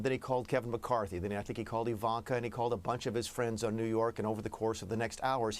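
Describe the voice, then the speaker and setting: A man answering questions as a guest in a live television interview, speaking hesitantly with many fillers and restarts. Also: medium pitch. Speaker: interview guest, former official.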